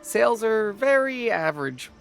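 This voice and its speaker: A woman's voice: a little high, sing-song, moderate pace, vocal fry, faint music behind. A woman playing an anxious, deferential shop vendor in a video game, hesitant and placating. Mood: nervous, apologetic. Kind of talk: English video game voice acting.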